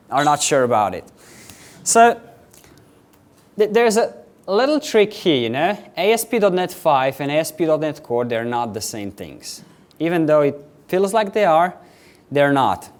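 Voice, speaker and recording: A young man from Slovenia with a Slovenian accent, giving a quick, chatty technical conference talk to a live audience. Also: a little high, big pitch swings. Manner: quick, chatty presenter with filler words, addressing a live audience. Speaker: conference speaker.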